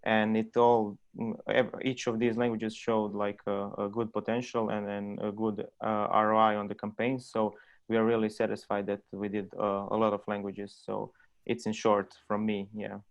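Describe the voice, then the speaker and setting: A man talks through a video-call webinar, pausing often with ums and uhs. His voice sits low and stays flat in pitch. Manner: halting, many ums and uhs. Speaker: game localization speaker. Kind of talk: webinar panel talk.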